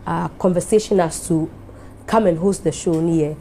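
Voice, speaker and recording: A woman's voice: fairly low pitch, normal speed, mellow, a little hiss or hum. Ghanaian woman speaking from the heart. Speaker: TV show host giving an anniversary speech. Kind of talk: emotional speech mixing English and Twi.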